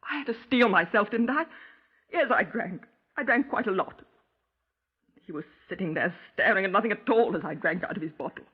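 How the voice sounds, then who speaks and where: An actress playing a distraught, self-pitying middle-aged wife making a halting, insistent confession in a 1950s radio crime drama. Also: female voice, mid-range voice, lively intonation, husky. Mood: agitated, defiant. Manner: halting, brooding, insistent, with rhetorical tag questions. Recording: muffled, lo-fi 1950s radio recording.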